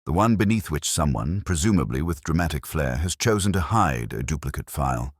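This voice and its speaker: Man reading as a patient, deep-voiced police inspector. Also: lively intonation, fairly quick, no echo, studio quality. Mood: dry, polite. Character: patient, dryly witty male police inspector.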